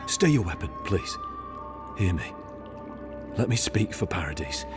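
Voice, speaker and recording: A very low-pitched male assassin from a video game, devout and repentant. He speaks like a grave orator, earnest and formal, with music clearly playing behind him. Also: big pitch swings, unhurried. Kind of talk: dramatic video game dialogue.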